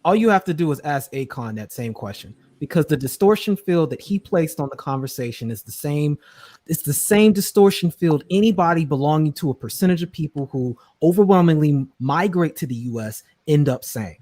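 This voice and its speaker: Man, fairly high voice, measured analytical panelist, dry audio. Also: lively intonation, darkish tone, no room sound. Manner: measured, long analytical sentences. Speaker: live stream panelist. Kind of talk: discussion.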